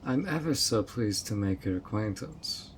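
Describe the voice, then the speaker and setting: A man's voice: fairly low pitch, lively intonation, moderate pace, a little hiss or hum, lightly acted. A man voicing a sweet but obsessive male fairy who coaxes a guest in an audio roleplay, with a honeyed, playfully sly manner. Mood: welcoming, delighted. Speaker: fairy host of a tea party.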